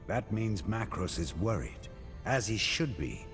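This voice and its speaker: Casting: resolute, stern fantasy game warrior, low register, swinging intonation, terse delivery. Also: male voice, big pitch swings, unhurried, full character voice. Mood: grim resolve. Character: grim, determined warrior, brother of a tyrant. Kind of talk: fantasy video game acting. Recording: long reverb, loud music. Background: game music.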